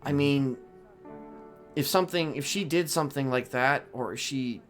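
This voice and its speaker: A man playing a conflicted prison inmate trying to reform, improvising in a halting, stammering way. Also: mid-range voice, faint music behind, lightly acted. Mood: hesitant, earnest. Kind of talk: improvised online roleplay.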